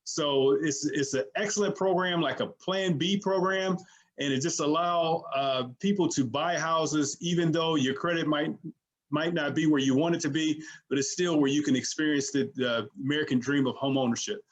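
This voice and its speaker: A man's voice: fairly high pitch, steady tone, moderate pace. A man hosting a real estate show, introducing a guest casually, with loose phrasing and repeated words.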